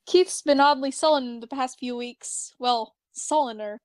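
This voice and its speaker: A woman plays an earnest alien man with a high voice and a dry, close sound. He rambles with awkward cheer and muddles his sayings, as in an amateur cartoon fan drama. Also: measured pace, no room sound. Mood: gossipy, indignant. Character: chatty, earnest alien man reporting awkwardly by video call. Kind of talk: fan fiction read as acted drama.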